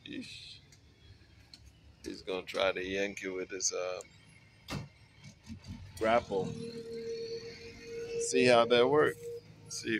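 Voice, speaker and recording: A man in his forties, a mobile welder, casually talking to the camera in a laid-back, unhurried way while working outdoors at a job site, recorded on a phone. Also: lowish voice, creaky, a bit bright, slight background noise. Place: outdoors on a work site, beside a truck. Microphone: handheld phone camera and wireless earpiece.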